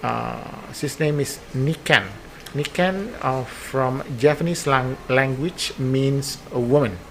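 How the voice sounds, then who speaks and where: Slow-talking Indonesian man in his fifties. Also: medium pitch, gravelly, fairly bright tone, a little hiss or hum. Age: 50s. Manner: halting, many fillers, friendly and joking. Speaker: guest, air force base commander. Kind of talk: podcast interview in English.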